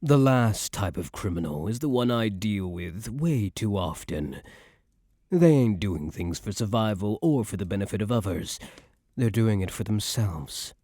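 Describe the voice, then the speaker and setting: A man playing a cocky young mafia heir who is a doting but menacing boyfriend, in an intimate ASMR roleplay with slow, smug tough-guy slang. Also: fairly low pitch, expressive, dark tone, no room sound, studio quality. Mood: musing, confiding.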